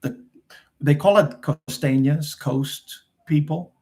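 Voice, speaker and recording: A man's voice: a little high, slow, vocal fry, mellow. A middle-aged man with a New Jersey accent whose first language is Portuguese, hosting a rambling, chatty live Q&A. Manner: rambling, chatty, frequent fillers and restarts. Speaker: host. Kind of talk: casual live Q&A chat.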